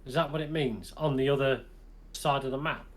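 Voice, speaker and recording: A man's voice: mid-range voice, a little fast. A man co-hosting a casual gaming chat, talking game rules through aloud and sounding exasperated with the design.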